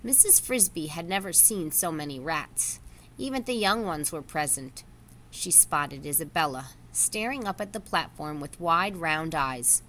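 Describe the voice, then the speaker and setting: Low-pitched woman reading a children's story. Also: fairly bright tone, a little hiss or hum. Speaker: story reader. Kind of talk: scripted read-aloud of a children's novel.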